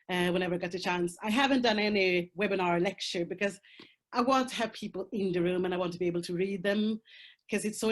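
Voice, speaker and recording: A woman whose first language is Swedish, speaking English with a Swedish accent, talking frankly and emphatically as a panel guest over a video call. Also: mid-range voice. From Sweden. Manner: frank and emphatic, swears, laughs through hard topics. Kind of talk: candid conversational talk.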